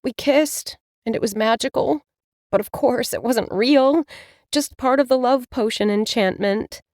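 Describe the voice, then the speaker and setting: Lady doing a tearful young witch girl, high and wobbly. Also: measured pace, darkish tone, no echo, clean studio sound. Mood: upset. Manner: high, emotional, sobbing. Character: young witch woman, upset and teary.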